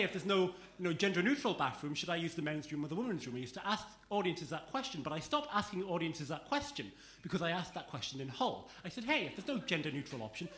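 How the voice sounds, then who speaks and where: A man tells a wry story on a comedy club stage, in a voice set a touch high. His tone is bright and pointed. The club around him is echoey, with a faint noise underneath. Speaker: stand-up comedian. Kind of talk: stand-up set.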